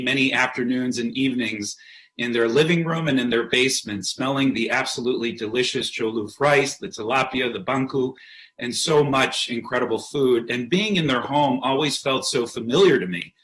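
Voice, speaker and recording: A man, a councilmember, sharing warm personal remarks at a council session. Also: medium pitch. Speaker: county councilmember.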